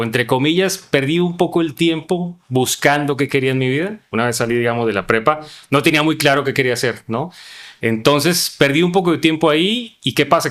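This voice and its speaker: A man's voice: mid-range voice, fairly bright tone. A man with a Colombian accent answering interview questions as a podcast guest, explaining things conversationally and often tagging sentences with a questioning "¿no?". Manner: explanatory, frequent "¿no?" tags.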